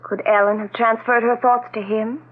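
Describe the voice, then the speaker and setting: High-voiced, inquisitive woman acted, muffled radio sound. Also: little pitch movement, normal speed, lightly acted. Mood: probing, troubled. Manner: probing, questioning. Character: inquisitive, haunted woman friend, quietly probing. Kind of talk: 1970s radio drama acting. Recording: muffled 1970s radio recording, background noise.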